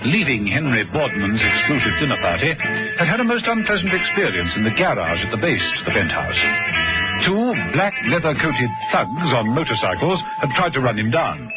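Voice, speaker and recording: A man's voice: medium pitch, low-quality recording. A man narrating a vintage radio thriller in a dramatic, suspenseful storytelling manner. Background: loud music.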